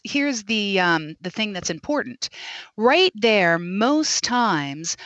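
A middle-aged woman voice coach and speech therapist explaining voice techniques in an interview, lively and instructive, sometimes demonstrating sounds. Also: mid-range voice, no room sound. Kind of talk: expert interview guest. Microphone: podcast microphone.

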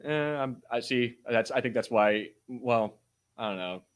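A man's voice: medium pitch, a little slow. A man hosting a podcast with casual banter, talking in an informal, slangy way with some swearing. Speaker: podcast host.

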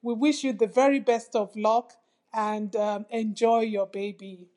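Bright-voiced woman. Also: on the high side, steady tone, unhurried. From South Africa. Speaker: fan sending a message.

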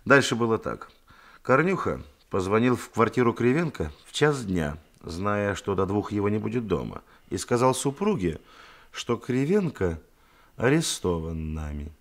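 An actor playing a shrewd, composed police detective in a radio drama, deliberate, commanding and dryly ironic. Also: male voice, on the low side, very animated. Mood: methodical, pressing.

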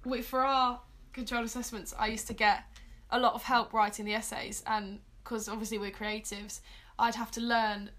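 A British woman in her late teens chats casually as a podcast guest. Her voice is fairly high, and her delivery is informal and conversational. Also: little pitch movement, moderate pace, hardly any pauses, a bit bright. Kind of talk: casual chat.

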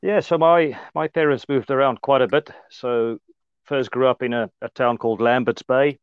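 A man's voice: medium pitch, steady tone, normal speed, smooth, no room sound. Man, Afrikaans-accented South African English, hesitant, fillers, dry, dull lo-fi recording. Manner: hesitant, many fillers and restarts. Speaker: interview guest. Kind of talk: casual conversational interview.

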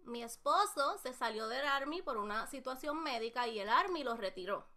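A woman's voice: high voice, expressive, normal speed, sharp tone. A woman co-hosting a podcast, chatting and explaining things to listeners. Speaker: podcast co-host.

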